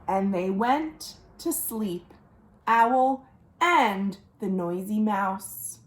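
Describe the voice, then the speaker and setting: A woman reading a picture book aloud for young children as a storytime host, animated, with questions to listeners and dramatic pauses. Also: medium pitch. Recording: roomy, echoing indoor sound.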